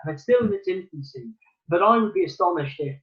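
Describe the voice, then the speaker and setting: A man's voice: high-pitched, lively intonation, quick pace, lo-fi sound. A man from Newcastle giving thoughtful, earnest answers as a theatre producer in a remote interview, with many ums and restarts. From Newcastle, England.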